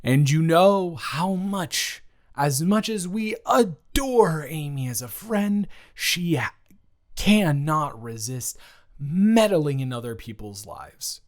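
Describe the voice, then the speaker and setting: A man playing an awkward, earnest ex-boyfriend in an improvised romantic roleplay, speaking haltingly and stammering to the listener. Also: on the high side, lively intonation. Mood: exasperated. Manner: halting, stammering, with long pauses. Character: awkward, earnest, teasing young ex-boyfriend trying to reconcile.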